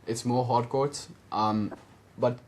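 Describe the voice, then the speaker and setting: A man's voice: mid-range voice, steady tone, takes it slowly, darkish tone. A teenage man from South Africa with a South African accent, an Afrikaans native speaker, answering interview questions casually over a video call with lots of hesitant ums. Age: teens. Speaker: guest, junior tennis player.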